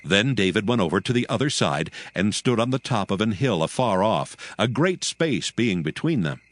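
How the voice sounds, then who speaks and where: A man narrating a dramatized audio Bible in a solemn, measured storytelling style. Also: lowish voice, very animated, no echo.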